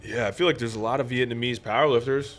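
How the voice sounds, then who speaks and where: A man with an ordinary mid-range voice talks loosely in a relaxed podcast conversation. He strings his thoughts together with constant filler words. He swears casually as he goes. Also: mid-range voice, fast. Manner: loose and profane, full of "like" fillers.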